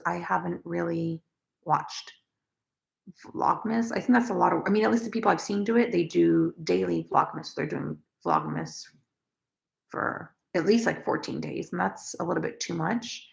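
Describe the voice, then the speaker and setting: A young woman with a naturally raspy voice, chatting casually with viewers on a crochet livestream and rambling with lots of fillers. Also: fairly low pitch, normal speed. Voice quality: raspy, gravelly. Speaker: crochet vlogger. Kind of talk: casual livestream chat, reading comments.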